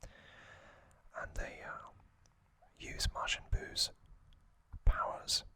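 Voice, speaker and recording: A man hosting ASMR, narrating in hushed whispers with frequent pauses and a gentle, reassuring manner. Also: no room sound. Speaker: ASMR host.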